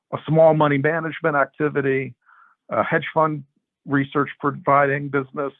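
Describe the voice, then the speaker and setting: A man speaking as a podcast guest, explaining financial markets in a measured, conversational way, in short phrases. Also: mid-range voice, no echo. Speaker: interview guest, macro research strategist and investment adviser. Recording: narrow phone-like sound, dry.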